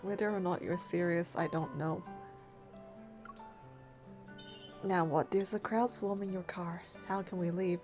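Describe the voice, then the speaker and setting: A woman's voice: fairly low pitch, fairly even intonation, moderate pace, music in the background, close to an everyday voice. A woman voicing a practical, skeptical young heroine in an English-dubbed game, dry and matter-of-fact as she narrates and talks.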